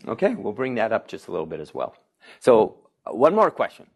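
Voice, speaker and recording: A man's voice: fairly low pitch, huge pitch swings, a little fast, gravelly, slightly rough. A man, a physician, giving a conversational public health talk, repeating audience questions and asking the room rhetorical questions.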